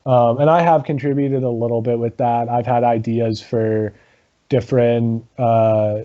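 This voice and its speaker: A man, a guest in a casual interview, talking about his comedy rock band with a low voice, lots of ums and laughs. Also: steady tone, highs cut off. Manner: halting, many ums, laughs often.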